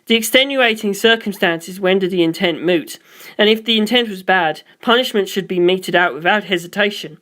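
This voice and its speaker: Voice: mid-range voice, normal speed, a bit bright. A reader delivering scripted first-person story narration, as for an audiobook.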